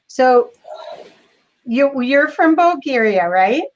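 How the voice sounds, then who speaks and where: Woman host, enthusiastic, slow and halting, slightly high voice, muffled lo-fi call audio. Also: expressive. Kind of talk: casual video call chat.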